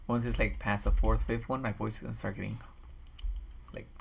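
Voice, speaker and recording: Low-pitched man with a gravelly, tired voice who rambles while building a game map on a stream. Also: level tone, fairly quick, a little hiss or hum. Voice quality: raspy, tired voice. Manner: rambling, thinks aloud with restarts. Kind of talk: casual commentary while building. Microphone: desk microphone.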